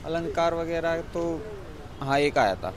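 A man's voice: on the high side, lively intonation, unhurried. A man, casually and tentatively answering interview questions outdoors. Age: 20s. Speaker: exam candidate being interviewed. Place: open yard under a tree. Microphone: handheld interview microphone. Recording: outdoor crowd chatter behind.

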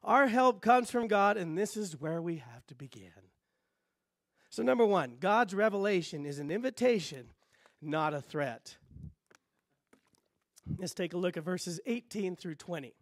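A middle-aged man preaching a sermon as pastor in a church, teaching in a lively way and gesturing at the pulpit. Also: high-pitched, unhurried, long pauses, dry recording, studio quality. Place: church sanctuary stage.

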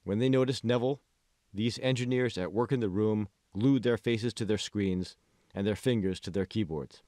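A man's voice: lowish voice, normal speed, dark tone, dry recording, clean studio sound. A man reading scripted audiobook narration as the author of the book.

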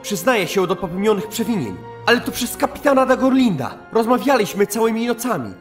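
A man's voice: high-pitched, big pitch swings, a little fast, faint music behind. A man playing a humble, earnest young elven paladin novice with a deferential manner in a fantasy audio drama. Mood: pleading.

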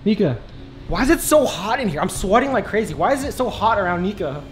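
A man's voice: sits high, fast, a little hiss or hum. A man, vlogging in a frantic, repetitive way on a handheld camera inside a house. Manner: frantic, repeats himself in excited bursts. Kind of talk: staged horror vlog, reacting on camera. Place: inside a house, moving about. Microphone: handheld camera close by.

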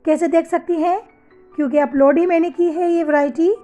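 High-pitched chatty woman with a sore throat. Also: fairly even intonation, moderate pace, mellow, soft background music. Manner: chatty, addresses viewers as sisters and mothers. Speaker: fashion channel host. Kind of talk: casual voice-over for a slideshow of products.

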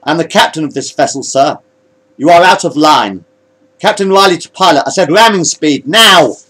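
A man's voice: on the high side, sing-song, fast talker, breathy edge, pitched above the performer's own voice. A man voicing a grey-haired, hot-tempered starship captain barking clipped orders in a science-fiction story. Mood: forceful.

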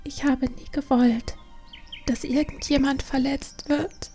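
A grieving young panda girl, played by a woman in an audiobook drama. Her voice is fairly high and unhurried, hushed and heartfelt, with music behind it. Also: little pitch movement. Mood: grieving, earnest. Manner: soft, hesitant, hushed and heartfelt. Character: grieving, restless young female panda longing for adventure.

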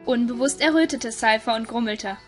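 A woman's voice: fairly high pitch, moderate pace. A woman narrator reading a scripted audio story. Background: music.

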